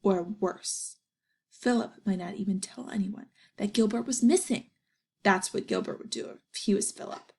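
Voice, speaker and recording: A woman's voice: mid-range voice, moderate pace, vocal fry, darkish tone, husky. A woman reading a children's story aloud as narration, with little asides to the listeners.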